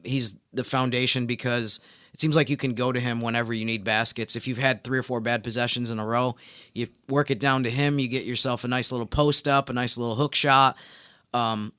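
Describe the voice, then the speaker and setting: A man co-hosting a sports podcast, giving conversational analysis and explaining his points step by step in a measured way. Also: mid-range voice, steady tone, pushed and bright, clear, resonant tone, no echo, clean studio sound.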